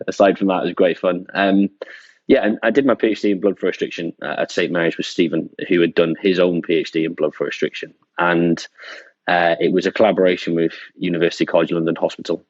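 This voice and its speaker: Deep-voiced man, dull audio. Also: fast, no room sound. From North Wales. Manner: relaxed, hesitant with many ums. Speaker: guest researcher. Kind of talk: conversational interview. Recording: dull dry remote audio.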